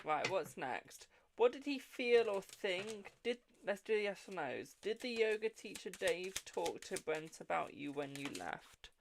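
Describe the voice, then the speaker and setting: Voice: mid-range voice, lively intonation, a little slow, gravelly, few pauses, noisy background. A tarot reader from England with a posh-sounding English accent, hosting a live stream, chatting casually, swearing freely and calling viewers "my darling". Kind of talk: live-stream card reading and casual chat.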